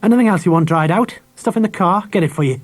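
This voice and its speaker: A man's voice: high-pitched, fast talker, voice pushed up. A man voicing a kindly, nervous, grey-haired schoolteacher in clipped, abrupt, repetitive phrases for a storybook. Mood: nervous, brisk. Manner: clipped, abrupt short phrases, repeats himself.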